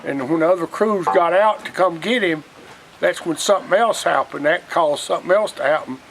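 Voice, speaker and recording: Older man, Southern US accent, higher-pitched voice, folksy casual storytelling, noisy outdoor recording with wind. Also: brisk, gravelly. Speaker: interviewee, retired coal miner. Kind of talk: casual reminiscing.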